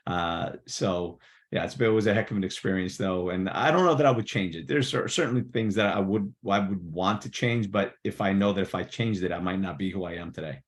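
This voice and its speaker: A man's voice: deep voice, a little fast. A man born in Portugal, Portuguese his first language, telling his life story as a podcast guest, rambling with fillers and restarts. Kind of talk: casual interview storytelling. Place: New Jersey.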